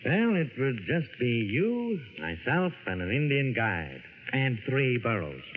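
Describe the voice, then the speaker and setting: Animated, genial professor. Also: male voice, mid-range voice, measured pace. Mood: eager, persuasive. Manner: eager, hearty. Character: genial, persuasive male professor and expedition leader. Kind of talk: mid-century radio drama acting. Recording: echoey, noisy tinny old radio.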